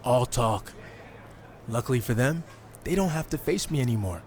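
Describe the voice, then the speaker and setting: A man's voice: mid-range voice, animated, moderate pace, a little mellow, lightly acted. Guy playing a cocky wrestler newcomer in a game, medium voice, confident and dry, noisy ambience behind him. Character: cocky, self-assured male indie wrestler turned new recruit. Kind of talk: video game cutscene acting.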